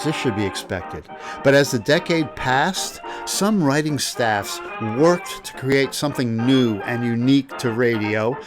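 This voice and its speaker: Man narrating over music. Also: medium pitch. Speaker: host, reviewer of old-time radio. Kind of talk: scripted narration, review commentary.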